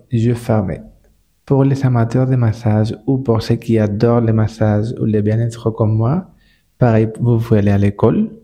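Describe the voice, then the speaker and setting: A man with a Spanish-speaking accent, a spa manager speaking French as a guest on a casual podcast, halting and full of repeated words and restarts. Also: mid-range voice, mellow.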